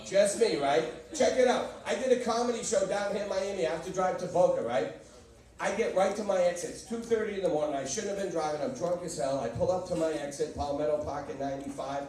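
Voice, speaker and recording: Boston guy doing standup, high voice, far off in an echoey club, crowd laughing loud. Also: fast. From Boston, USA. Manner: brash, punchy storytelling with audience banter. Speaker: stand-up comedian. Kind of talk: stand-up comedy set. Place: comedy club stage. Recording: echoey, distant club recording. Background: crowd laughter and noise.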